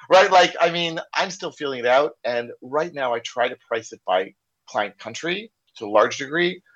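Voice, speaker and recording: A man's voice: medium pitch, animated, measured pace, fairly bright tone. An American man telling a candid story in a casual podcast conversation, acting out the dialogue he quotes. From United States. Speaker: corporate trainer and podcast guest. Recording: slightly dull lo-fi sound.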